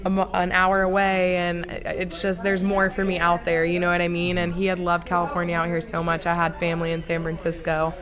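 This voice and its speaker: A woman's voice: lowish voice, monotone, brisk, few pauses, music in the background. A young woman from Florida, chatting casually in an interview and telling her story in an upbeat way. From Florida, USA.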